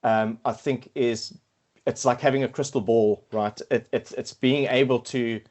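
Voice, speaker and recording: A man's voice: mid-range voice, steady tone, a little slow, a little mellow. A middle-aged man with a South African accent, a podcast guest talking casually and hesitantly, with many fillers and restarts. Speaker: podcast guest, quantity surveyor. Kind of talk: casual interview chat.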